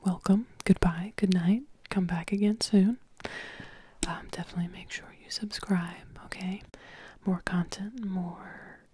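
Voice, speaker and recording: Young woman whispering in ASMR style. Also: mid-range voice. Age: young adult. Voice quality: soft whisper. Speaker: ASMR video creator. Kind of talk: ASMR casual sign-off to viewers. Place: small indoor home studio room. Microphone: handheld recorder with foam cover, very close.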